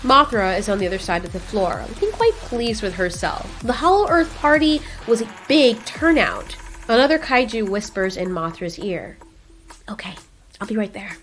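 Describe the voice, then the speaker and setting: A woman's voice: a little high, big pitch swings, normal speed, hardly any pauses, a bit bright, faint music behind. A woman narrator reading scripted third-person story narration for an audio drama.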